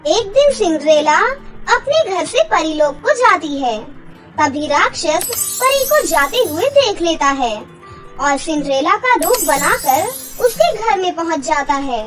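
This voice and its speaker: A woman storyteller reads a scripted children's tale. Her voice is very high and her delivery slow, with clear music behind her. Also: very animated. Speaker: storyteller, narrator.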